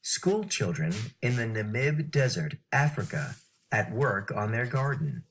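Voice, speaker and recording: A man's voice: lowish voice, very animated, unhurried. A man reading short photo captions as scripted narration.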